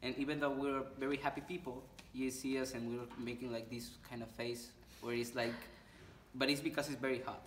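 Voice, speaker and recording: A man's voice: mid-range voice, level tone, fairly quick, roomy sound, far from the mic, a little hiss or hum. A young man, earnestly explaining with examples in an interview. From Mexico. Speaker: exchange student. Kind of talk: casual interview answers.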